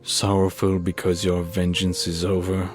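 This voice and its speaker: A man's voice: deep voice, monotone, normal speed, darkish tone, faint music behind, close to an everyday voice. A man playing a dying, obsessed old scientist in a horror audio drama, grave, weary and theatrical. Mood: weary, resigned.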